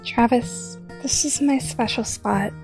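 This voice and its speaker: A woman playing a playful, teasing young woman in an audio drama, speaking gently over guitar music. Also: a little high, slow pace. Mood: gentle teasing.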